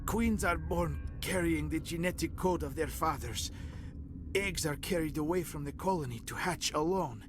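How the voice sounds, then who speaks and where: An actor playing a weary, regretful station director in a video game, with a Slavic accent and halting, grave, non-native phrasing. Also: male voice, a little high, close to an everyday voice. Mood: explaining. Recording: loud music behind the voice.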